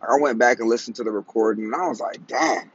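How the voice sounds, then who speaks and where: A man in his thirties hosting a casual solo podcast, with a high, raspy, cracky voice, talking in slang, rambling and laughing at his own jokes. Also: fast talker, dull audio, slight background noise. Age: 30s. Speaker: podcast host.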